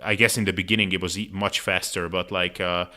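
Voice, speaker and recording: A man's voice: sits low, level tone, fairly quick, pushed and bright. A man hosting a casual interview in an informal, chatty way full of filler words. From Hungary. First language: Hungarian. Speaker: podcast host.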